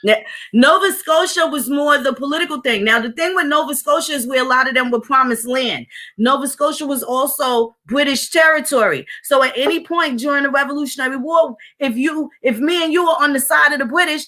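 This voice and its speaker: A woman from the northeastern United States hosting a casual history discussion, talking fast and emphatically with strong opinions. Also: high-pitched, pushed and bright. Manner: fast, emphatic, opinionated, acts out quoted voices. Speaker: podcast host.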